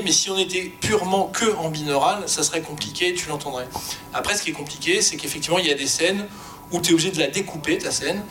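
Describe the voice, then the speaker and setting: A man speaking informally and spontaneously as a panelist, explaining technical work with halting, repeated words. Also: pushed and bright. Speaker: sound fiction creator on an expert panel. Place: panel discussion before an audience. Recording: faint rumbling background noise.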